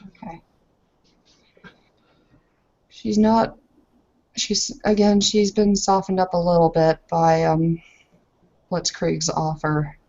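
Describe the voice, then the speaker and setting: Slow, creaky-voiced woman narrating roleplay. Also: fairly low pitch, flat delivery, warm tone. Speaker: roleplaying game player. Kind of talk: narrating her character in a tabletop roleplay. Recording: slightly dull, lo-fi audio.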